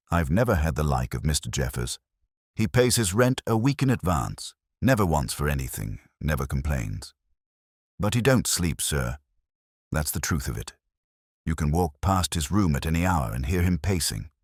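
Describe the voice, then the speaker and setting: A man's voice: rumbling low, slightly rough, dry recording, studio quality, exaggerated voice. A man voicing a harried, deferential working-class landlady, hesitant and uneasy, in an audiobook story. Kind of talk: audiobook detective story dialogue.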